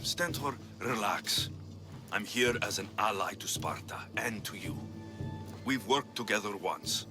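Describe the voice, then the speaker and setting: An actor playing a cocky, wry mercenary hero in a video game, speaking with dry, teasing confidence. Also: male voice, fairly low pitch, animated, measured pace. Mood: cool, confident. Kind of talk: dramatic video game acting. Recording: music behind the voice.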